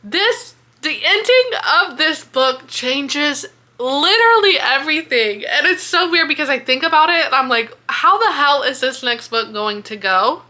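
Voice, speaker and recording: An American woman chatting casually as a book vlogger, excitable, dramatic and freely swearing. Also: high-pitched, a little slow. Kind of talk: casual reading reaction chat.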